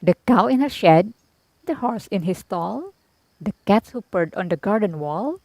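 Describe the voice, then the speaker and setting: A woman reading a children's storybook aloud as an expressive narrator. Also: medium pitch, unhurried, no room sound. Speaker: storybook reader.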